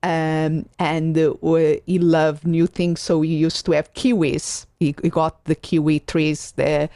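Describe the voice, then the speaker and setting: A woman podcast host with a Portuguese accent speaks in a fairly low voice. She is enthusiastic but halting, circling back to rephrase as she talks. The sound is close and dry, with little room around it. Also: level tone, dark tone, no room sound. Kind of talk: conversational interview.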